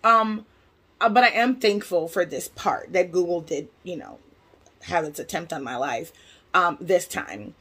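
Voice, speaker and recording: An American woman casually telling a personal story to her viewers, animated and slangy, voicing both sides of conversations as she goes. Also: fairly low pitch, measured pace, husky. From United States. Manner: animated, slangy, conversational, acts out reported dialogue. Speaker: vlogger.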